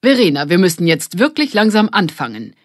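A woman's voice: fairly low pitch, big pitch swings, fairly quick, dry recording, studio quality, voice pushed up. A woman voicing a stern yet gullible old grandfather in an audiobook, formal and slightly pompous.

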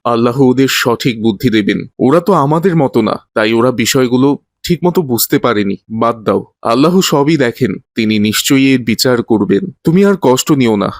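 A man playing a patient, pious village father in a moral cartoon, gently instructive. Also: mid-range voice, expressive, moderate pace, no echo, studio quality, natural voice. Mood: calm, consoling. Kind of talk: children's moral cartoon dialogue.

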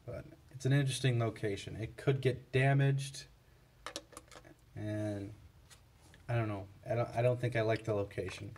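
A man giving a casual, unscripted product comparison in a halting, matter-of-fact way. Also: fairly low pitch, steady tone, a little mellow, slight background noise. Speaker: product reviewer for a scanner seller.

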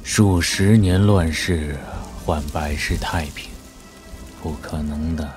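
Very deep, grave swordsman-scholar. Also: slow, a little mellow, soft background music. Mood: somber. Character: grave, skeptical male Confucian master swordsman, middle-aged. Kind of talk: Chinese wuxia audio drama acting.

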